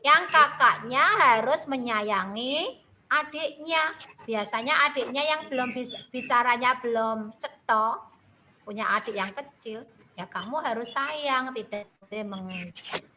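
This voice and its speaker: A woman's voice: on the high side, pushed and bright, a little hiss or hum, poor audio. A woman teacher with a Javanese accent, patiently explaining a lesson to young children over a video call. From Central Java, Indonesia. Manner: slow, patient, addresses children with tag questions. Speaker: primary school teacher. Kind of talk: online class lesson.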